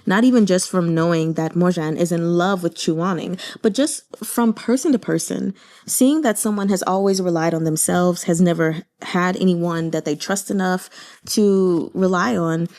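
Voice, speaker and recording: A woman hosting a book channel, giving casual commentary in a gushing, expressive manner. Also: lowish voice, moderate pace. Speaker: host of a book reading channel.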